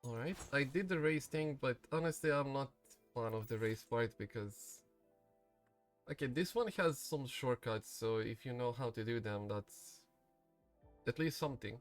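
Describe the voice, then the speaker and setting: A man's voice: medium pitch, moderate pace. A man giving casual gameplay commentary, thinking aloud a little hesitantly as he streams. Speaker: streamer playing a game. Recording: faint game music behind. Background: game music.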